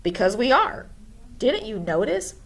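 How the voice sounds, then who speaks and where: Gawky storybook schoolboy, played by a woman: eager, friendly, chatty, somewhat raised pitch, unhurried. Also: big pitch swings, full character voice. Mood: eager, confiding. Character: gawky, friendly, odd schoolboy who loves ballet. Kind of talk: storybook character voice.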